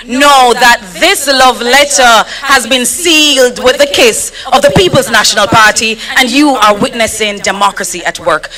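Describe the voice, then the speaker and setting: A woman's voice: fairly high pitch, low-quality recording. A woman in her thirties with a Jamaican accent, a politician giving a playful, theatrical speech at a rally.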